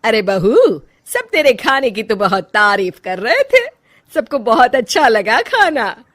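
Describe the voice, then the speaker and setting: A woman acts out a middle-aged mother-in-law from an animated moral story. She is chatty and exclamatory, with a fairly high voice and very lively intonation. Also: unhurried, heavily acted. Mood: brisk, chatty.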